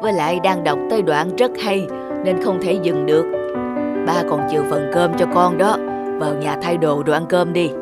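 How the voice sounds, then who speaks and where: A woman voicing a caring, thoughtful elderly father in a cartoon drama, gentle and questioning, with music behind. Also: on the low side, fairly even intonation, normal speed, lightly acted. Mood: gentle concern. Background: background music.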